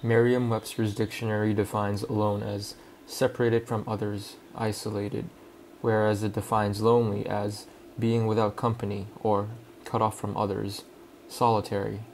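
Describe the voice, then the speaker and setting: A young man from Hong Kong, a native Cantonese speaker, calmly narrating a reflective personal voice-over in English. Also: on the low side, slight background noise, poor audio. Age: young adult. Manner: calm, reflective, measured. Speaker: student filmmaker.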